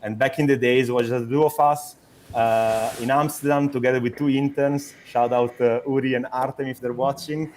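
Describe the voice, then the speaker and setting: A man's voice: mid-range voice, steady tone, normal speed, darkish tone, a little hiss or hum, poor audio. A young adult man from Italy with an Italian accent, a startup co-founder talking in an interview, hesitant with many restarts and fillers. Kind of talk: conversational interview.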